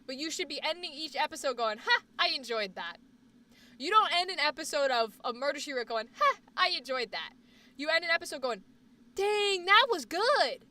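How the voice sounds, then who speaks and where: A woman with a high, bright voice and a slight Boston accent, chatting loosely on her livestream. She rambles and repeats words for emphasis, and sounds a little away from the mic. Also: very animated, normal speed, distant mic. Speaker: livestream host. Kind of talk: casual chat stream.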